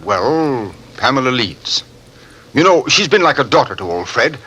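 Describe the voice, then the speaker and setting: Dignified judge, husky but bright, formal, old radio play. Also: male voice, mid-range voice, very animated, heavily acted. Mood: earnest, explanatory. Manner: formal, hesitant, measured. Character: earnest, dignified male judge, old-fashioned and measured. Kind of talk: 1950s American radio drama acting.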